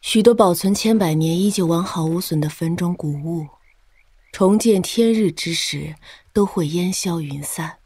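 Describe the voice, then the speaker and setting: An actress playing a calm, aloof female sect master in a martial-arts audio drama, measured and detached. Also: on the low side, husky, no room sound. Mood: calm, explaining.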